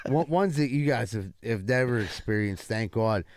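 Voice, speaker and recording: A man in his forties speaks as a talk show host in a close, dry room sound. His pitch is ordinary for a man, and his talk is casual, crude banter. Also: expressive, normal speed, a little mellow, no room sound. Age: early 40s.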